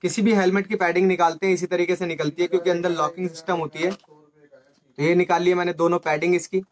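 Higher-voiced man explaining step by step. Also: flat delivery, fast talker. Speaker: presenter of a motorcycle gear channel. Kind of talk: hands-on tutorial explanation. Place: small indoor office or shop room. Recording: faint background noise with clicks.

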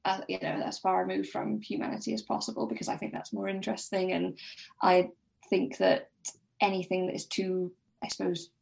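A woman's voice: mid-range voice, level tone, a little fast, vocal fry, a little mellow. A woman guest on a podcast discussing a book casually, thinking aloud in a hesitant way.

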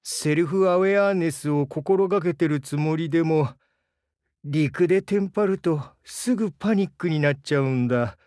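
A man playing a gentle, bashful crocodile diving instructor in a Japanese game, earnest and hesitant, easily flustered. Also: a little high, normal speed, no room sound, clean studio sound, lightly acted. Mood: calm, friendly. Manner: soft-spoken, hesitant, earnest, stammers when flustered. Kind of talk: Japanese game character voice lines.

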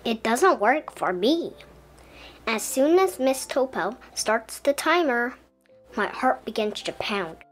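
A woman reading a children's story aloud in an expressive, deliberate storybook style. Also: a little high, faint music behind. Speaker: children's book reader. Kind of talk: expressive scripted storybook narration as a young boy.

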